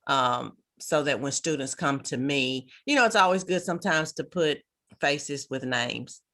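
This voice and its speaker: Crisp, bright-toned woman coordinator, chatty and rambling, on a video call. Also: very deep voice, lively intonation, measured pace. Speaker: student engagement coordinator. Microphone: video call, camera off.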